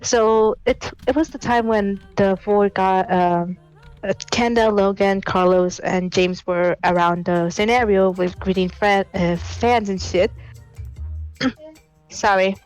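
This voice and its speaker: A woman's voice: mid-range voice, fairly even intonation, slow, a little mellow, clear, resonant tone, soft background music, poor audio. Giggly teenage woman, rambling. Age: late teens. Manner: giggly, rambling, many restarts. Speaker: caller. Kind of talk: casual confession story.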